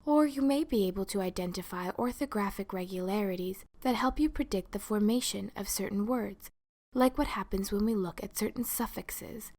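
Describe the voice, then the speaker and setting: A woman reads a scripted educational narration in a formal, measured, carefully articulated manner. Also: mid-range voice, darkish tone. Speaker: lecturer, narrator of an educational video.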